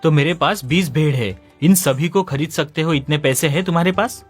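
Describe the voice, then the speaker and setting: A man acts as a straightforward village shepherd in an animated moral tale. His voice sits a little high, trusting and earnest, and music plays under it. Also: moderate pace, close to an everyday voice. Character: plain-spoken, trusting village shepherd, easily upset. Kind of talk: Hindi animated moral story dialogue.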